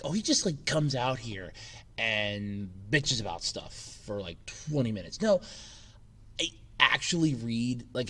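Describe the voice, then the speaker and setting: A man hosting his own channel in a casual question-and-answer chat, a little scratchy from a cold, rambling with fillers and restarts. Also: medium pitch. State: slightly scratchy, recovering from a cold. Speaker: YouTube channel host. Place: temporary makeshift room with echo. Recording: echoey temporary room.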